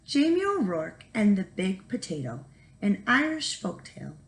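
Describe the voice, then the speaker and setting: Female teacher for a children's read-aloud, animated and emphatic, with a slightly gravelly creak. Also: mid-range voice, normal speed. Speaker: first grade teacher reading aloud.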